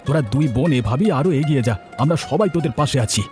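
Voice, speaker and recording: A man playing a kindly, encouraging village gentleman in a cartoon, hearty and fond of repeating words. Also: on the high side, lively intonation, very fast, over music, natural voice. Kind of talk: Bengali cartoon voice acting.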